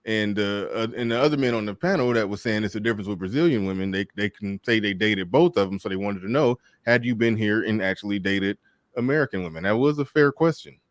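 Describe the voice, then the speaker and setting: A man's voice: lowish voice, quick pace, no room sound, clean studio sound. A man hosting a live call-in debate show, talking casually with lots of stammered restarts. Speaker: host.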